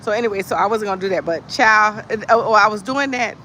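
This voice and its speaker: Bright, sassy American livestream storyteller. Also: mid-range voice, fast, a little hiss or hum. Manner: animated, sassy, emphatic, conversational asides. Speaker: livestream host telling stories. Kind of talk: casual chat with comic storytelling.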